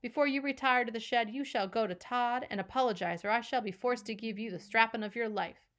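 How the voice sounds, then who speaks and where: A woman's voice: fairly high pitch, a little fast, a bit bright, close to an everyday voice. A woman voicing a stern, haughty middle-aged foster mother who scolds in stiff, formal language. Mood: scolding. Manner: formal, scolding, pompous diction.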